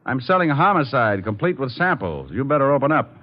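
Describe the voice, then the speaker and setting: An actor playing a cool, hardboiled, wry and slangy private detective in 1940s radio style. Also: male voice, medium pitch, sing-song, fast talker, noisy background, close to an everyday voice. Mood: cool, sardonic. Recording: dull lo-fi old radio recording.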